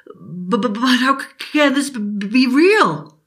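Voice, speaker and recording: A timid young boy who stutters and trips over his words, as a woman puts him on in a storybook. His voice sits high and jumps around, climbing sharply when he gets excited. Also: measured pace. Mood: frightened. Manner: stutters, breathless and eager.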